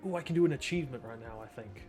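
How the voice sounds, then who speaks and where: A man's voice: medium pitch, rapid-fire, dark tone, soft background music. A man giving casual gameplay commentary as a streamer, with wry asides. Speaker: game streamer.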